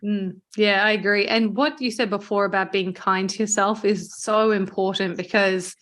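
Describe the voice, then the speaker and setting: A woman's voice: medium pitch, fairly even intonation, normal speed, a bit bright. A woman hosting a podcast, chatting conversationally in an encouraging, affirming way. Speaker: podcast host, marketing agency owner. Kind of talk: conversational interview chat.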